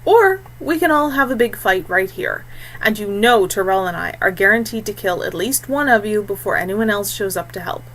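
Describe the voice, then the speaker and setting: A woman voicing a wary, pragmatic young male warrior in an audiobook, brisk and matter-of-fact. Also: mid-range voice, lively intonation, fairly bright tone, rough recording. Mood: coolly threatening.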